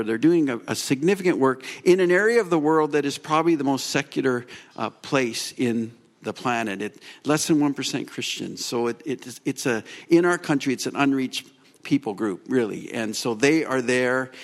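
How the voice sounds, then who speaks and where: A man hosts a church gathering and introduces the next speakers. His voice sits at an ordinary pitch, and he talks casually and rambles, dropping in laughing asides. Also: moderate pace. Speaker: church host introducing guests.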